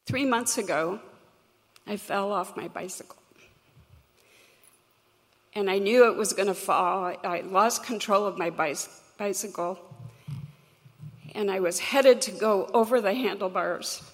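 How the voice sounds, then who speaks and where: A woman with a crackly voice, telling a personal story to an audience in an earnest, reflective, measured way. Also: mid-range voice. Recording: long hall reverb.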